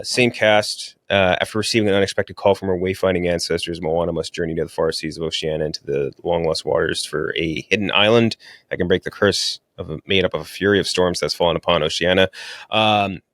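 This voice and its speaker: A man's voice: sits low, a bit bright, dry recording, studio quality. A man hosting a casual movie podcast, talking in a blunt, slangy, profane way. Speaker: podcast host reviewing movies.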